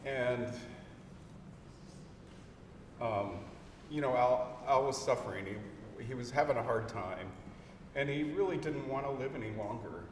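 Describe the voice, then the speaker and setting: A man speaking as a bereaved husband at a memorial lecture, hesitant and emotional, with many pauses and fillers. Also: mid-range voice. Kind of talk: halting heartfelt remarks. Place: lecture hall. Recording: echoey hall from far off with noise.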